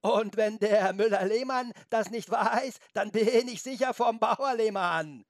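A man voicing a stubborn, muddled and grumpy female goat in a children's story, with a bleating, drawn-out, defiant delivery. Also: high voice, no room sound, studio quality, voice pushed up. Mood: grumbling.

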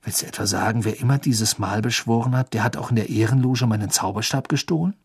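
A man voicing a brooding, grave adult man in an audiobook, questioning slowly in a hushed, breathy tone. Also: mid-range voice, big-room sound. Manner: slow, probing questioning.

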